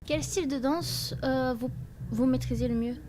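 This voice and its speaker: A woman's voice: high voice, normal speed, noisy background. A woman asking interview questions carefully, with slight hesitation.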